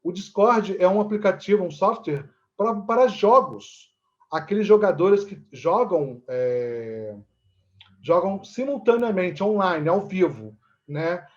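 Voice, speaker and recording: Man, high voice, Brazilian Portuguese accent, halting explanatory interview talk, dull lo-fi sound. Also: animated. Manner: halting, explanatory, often seeking agreement with tag questions. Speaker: actor and theatre teacher, interview guest.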